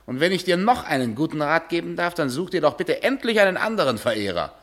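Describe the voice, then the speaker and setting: A middle-aged male radio play voice. He is a callous, philandering husband with a sardonic bite. His intonation is animated and swings widely. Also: a little high, fast talker. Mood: irritated, biting. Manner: sardonic, biting.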